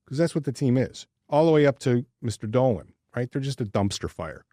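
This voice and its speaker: Man, sports podcast co-host. Lower-pitched, casual, blunt and wry, dry close sound with no room. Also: a little mellow, dry recording.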